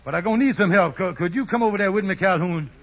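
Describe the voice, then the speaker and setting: A man's voice: sits high, animated, fast talker, a bit bright, full character voice. An actor playing a fretful, blustery schemer in an old radio sitcom, stammering as he plots in folksy grammar. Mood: anxious scheming. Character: scheming, fretful male lodge bigshot in trouble. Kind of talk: 1950s radio sitcom acting. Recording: muffled, noisy old radio recording.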